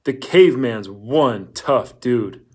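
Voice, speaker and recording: A man's voice: mid-range voice, animated, slow. A man reading a storybook, voicing a brash teenage boy with a blunt, teasing way of talking.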